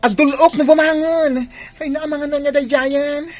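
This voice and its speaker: A woman's voice: sits high, steady tone, normal speed, darkish tone, lightly acted. A woman playing a worried, caring sibling in an Ilocano radio drama, with an Ilocano accent. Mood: anxious, hurried. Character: worried, caring adult sibling looking after sick sister.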